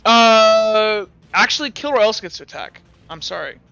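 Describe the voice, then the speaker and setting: A man's voice: high voice, expressive, normal speed, gravelly, pushed and bright, clear, resonant tone, no room sound, muffled recording, soft background music. A young man running a tabletop game as game master, talking casually, stumbling over rules and narrating gory combat.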